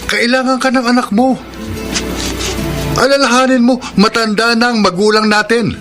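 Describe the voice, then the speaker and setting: A man's voice: very high-pitched, level tone, moderate pace, over music. A man playing a stern, responsible older brother and uncle in a Filipino radio drama, earnest and admonishing. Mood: scolding, insistent.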